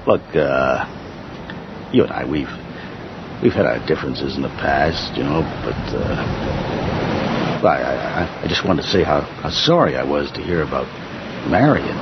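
An actor playing an awkward, nosy acquaintance, stammering and hesitant, in old-time radio drama style. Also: male voice, rumbling low, very animated, measured pace, pauses often, full character voice. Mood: awkward sympathy. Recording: muffled, noisy old radio recording.

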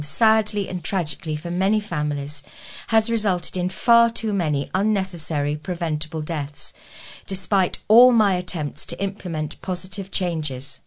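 Lower-pitched woman reading news. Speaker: volunteer newsreader. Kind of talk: read-aloud local news.

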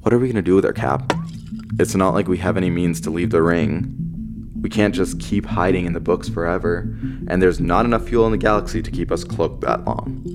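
A man's voice: low voice, fairly quick, over music. A man playing a wry, loyal runaway nobleman turned spaceship crewman, talking casually and teasingly in a science fiction audio drama. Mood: worried, teasing.

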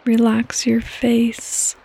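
A woman hosting a guided sleep meditation in a soft, breathy, partly whispered voice, with calm, gentle instructions and long pauses. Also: on the high side, rough recording. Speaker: podcast host and meditation guide.

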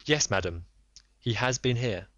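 A man's voice: medium pitch, expressive, a bit bright, no room sound. Man doing a cool, guarded Victorian detective. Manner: measured, formal, guarded. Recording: dull lo-fi dry recording.

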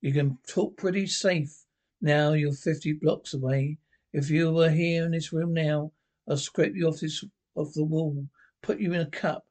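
A snarling, defensive little ex-jockey, played by a man in a one-man reading. He is bitter and boastful, and his voice sits fairly high. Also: fairly even intonation, a little slow, a little mellow, natural voice. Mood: bitter sneering.

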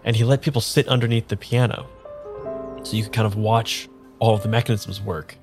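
A man's voice: lowish voice, fairly even intonation, over music, natural voice. A man playing a bewildered, earnest amnesiac in an improvised audio drama, speaking in an unsure, conversational way. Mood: earnest wonder. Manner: halting, unsure, rambling. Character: bewildered, earnest man without his memory. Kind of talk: improvised audio drama dialogue and first-person narration.

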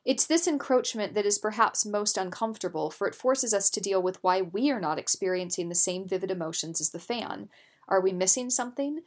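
A woman narrating scripted nonfiction as an audiobook reader, in a measured, articulate way. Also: medium pitch, expressive.

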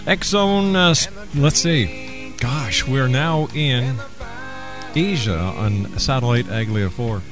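A man hosting a live radio talk show, reading announcements in a somewhat halting way with pauses. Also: medium pitch, lively intonation, music in the background. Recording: hissy, noisy radio broadcast recording.

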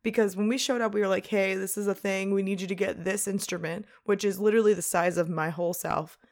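A woman's voice: steady tone, fast. A young woman co-hosting a podcast, chatting casually and animatedly and laughing often. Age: young adult. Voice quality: gravelly low voice. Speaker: podcast co-host. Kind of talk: casual chat.

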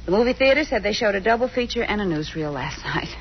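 An actress playing a sleuth's brisk, loyal female sidekick in 1940s radio style, on a muffled old recording. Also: mid-range voice, expressive, slight background noise, natural voice. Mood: brisk, puzzled.

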